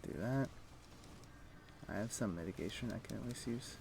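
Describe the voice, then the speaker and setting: A man's voice: fairly low pitch, moderate pace, vocal fry, background noise. A man giving casual, offhand gameplay commentary as a livestreamer, muttering short reactions as he plays. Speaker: game livestreamer.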